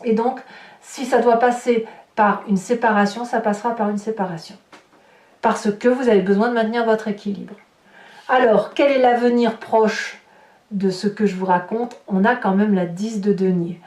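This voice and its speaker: A woman's voice: mid-range voice, fairly even intonation, moderate pace, a little mellow. A woman giving a tarot reading to her viewers, searching for her words and often repeating them. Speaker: tarot reader and host. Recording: roomy, echoing sound.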